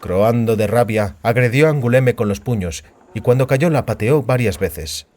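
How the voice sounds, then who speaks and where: A man's voice: medium pitch, normal speed, darkish tone. A man narrating a fantasy audiobook in a scripted, dramatic storytelling style. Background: music.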